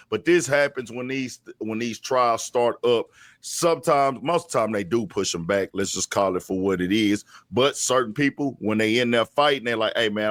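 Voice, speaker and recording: Guy hosting a street news talk thing, kinda low voice, blunt and slangy, real dry sound. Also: dry recording. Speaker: host commentator. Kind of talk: casual street news commentary.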